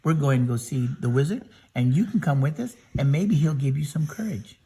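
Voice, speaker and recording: A kind, brave young girl speaks in a plain, lively storybook voice, as a man reads her lines. There is a husky edge to the voice. She comes across as earnest and steady. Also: a little high, a little fast. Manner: plain, close to the reader's own voice.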